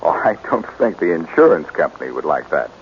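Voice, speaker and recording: A suave amateur sleuth in a 1940s radio drama. His low voice swings freely as he tosses out wry, teasing lines. The old recording is muffled and lightly hissy. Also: sing-song, a little fast, exaggerated voice. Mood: smooth, amused. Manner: suave, wisecracking. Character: wry, self-assured male amateur sleuth with a teasing streak.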